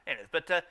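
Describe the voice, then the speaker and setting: A man's voice: fairly high pitch, creaky, noisy background. A man hosting a casual fan show, stammering and often repeating his phrases as he comments. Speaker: host of a fan riffing show.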